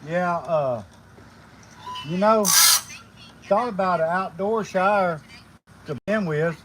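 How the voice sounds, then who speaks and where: Guy rambling on a live stream outside, lotta uhs, bit higher voice. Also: takes it slowly, noisy background. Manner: folksy, rambling, lots of fillers. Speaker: homesteading vlogger. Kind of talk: casual live-stream chat. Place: outdoors, sharpening blades.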